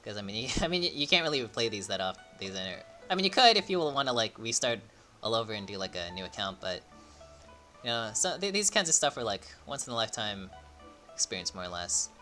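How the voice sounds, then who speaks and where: A young man giving casual, rambling, excitable live commentary as he streams a game. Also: lowish voice, brisk. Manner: rambling, excitable, mild swearing. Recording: faint game music behind. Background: game music.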